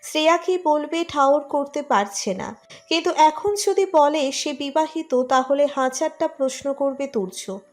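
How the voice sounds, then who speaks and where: A woman's voice: high voice, measured pace. A woman reading a scripted romantic story as its narrator.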